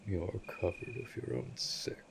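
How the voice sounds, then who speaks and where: A man's voice: normal speed, background noise, lightly acted. A man voicing a cold, imperious centuries-old vampire lord in an audio roleplay drama, speaking formally and with disdain. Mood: stern, disgusted.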